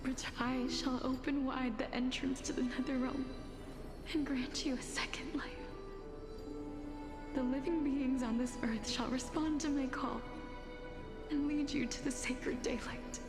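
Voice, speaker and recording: In a long echo over music, a sorrowful maiden of death from a fantasy game. Her high voice is gentle and hushed, and she speaks slowly and ceremonially. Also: steady tone. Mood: solemn, tender. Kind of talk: video game voice acting, solemn monologue. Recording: echoing hall reverb, loud music.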